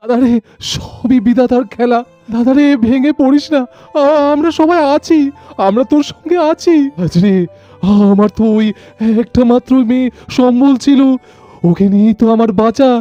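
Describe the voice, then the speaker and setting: A man's voice: extremely high pitch, normal speed, warm tone, dry recording, highs cut off, soft background music. A man voicing a distraught, grieving villager in a melodramatic doll cartoon, pleading and stammering.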